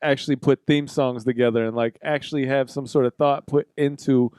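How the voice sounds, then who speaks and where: A man's voice: medium pitch, steady tone, a little fast, a little mellow, smooth, no echo, studio quality. A man co-hosting a podcast in casual, rambling banter, playful and swearing casually. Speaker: podcast co-host.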